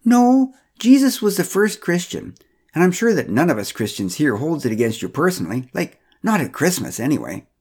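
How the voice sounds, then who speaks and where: A man voicing an oblivious, preachy older woman neighbor in a spoken story, with a husky voice. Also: a little high, big pitch swings, normal speed, darkish tone, voice pushed up, exaggerated voice. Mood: indignant.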